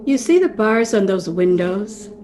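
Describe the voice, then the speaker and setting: A woman's voice: mid-range voice, very animated, unhurried, dark tone. Woman doing a grave bitter captive sister in a radio mystery, mid voice, noisy line. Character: vengeful long-imprisoned sister of a murderer. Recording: noisy home video-call recording.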